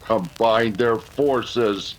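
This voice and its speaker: An actor playing an earnest, resolute masked cowboy hero in an old-time radio western. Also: male voice, lowish voice, very slow, rough recording. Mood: grave, regretful.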